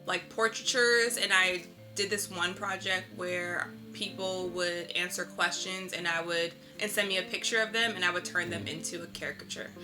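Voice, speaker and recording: A woman's voice: on the low side, fairly even intonation, moderate pace, few pauses, sharp tone, soft background music. A woman being interviewed, answering casually in a candid, reflective and informal storytelling way. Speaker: interviewed artist.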